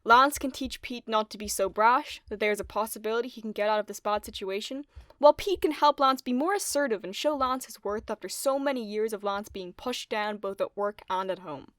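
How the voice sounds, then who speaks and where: A woman's voice: on the high side, brisk, a bit bright, dry recording, clean studio sound. A woman reading scripted narration as co-host of a video essay.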